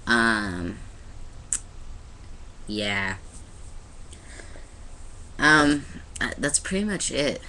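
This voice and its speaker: Bright-voiced young man rambling casually. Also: medium pitch, sing-song, extremely slow, pauses often, low-quality recording. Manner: rambling, many "like"s and restarts, laughs easily. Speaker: vlogger. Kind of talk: casual personal video diary. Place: indoors in front of a light.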